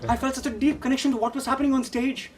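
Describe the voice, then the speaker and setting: Man acting a high-voiced, theatrical young Indian man. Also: steady tone, racing, far from the mic, a crowd in the background. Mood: reflective. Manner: theatrical, declamatory, swinging from hushed to shouting. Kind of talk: dramatic monologue storytelling.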